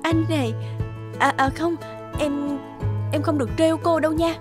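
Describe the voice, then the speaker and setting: Woman acting a very high-voiced, timid young woman. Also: fairly even intonation, normal speed, over music, natural voice. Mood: shy, touched. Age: young adult. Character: timid, polite young woman, earnest girlfriend and lecturer. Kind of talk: Vietnamese cartoon dialogue.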